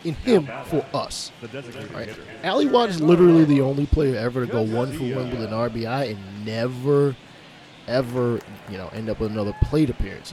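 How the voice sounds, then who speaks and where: A man's voice: medium pitch, a little mellow, a little hiss or hum. Nasal man calling a baseball game. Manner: laid-back, chatty play-by-play with asides. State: nasal from a summer cold. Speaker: gaming channel host. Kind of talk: casual game commentary. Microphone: studio mic on a boom arm. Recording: game music underneath.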